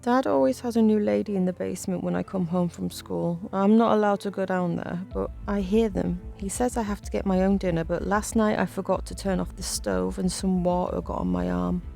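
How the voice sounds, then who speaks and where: A woman's voice: mid-range voice, fairly even intonation, brisk, gravelly, hardly any pauses, mellow, faint music behind. A woman voicing an earnest, frightened seven-year-old boy in a horror story, hesitant and pleading. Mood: hesitant, confessing. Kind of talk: acted dialogue in a narrated horror story.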